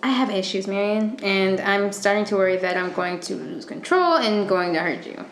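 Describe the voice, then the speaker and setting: A woman's voice: mid-range voice, animated, moderate pace, slight background noise, natural voice. An actress playing a stressed young female student in a radio thriller, guarded, moody and intense, with a blunt, dramatic manner. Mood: anxious, wounded. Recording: echoey room, prominent music underneath.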